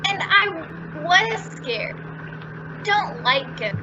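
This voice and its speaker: A woman's voice: very high-pitched, very animated, very slow, muffled recording, noisy background, heavily acted. A woman playing a whiny, cheeky child in an old-time radio family comedy. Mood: plaintive. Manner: whining, complaining.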